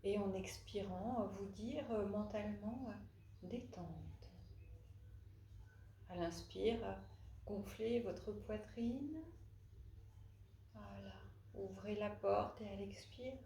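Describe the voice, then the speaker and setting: A woman leading a guided relaxation as a yoga nidra instructor, speaking haltingly with long pauses and frequent hesitations. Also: medium pitch, dark tone. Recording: roomy echo, faint background noise.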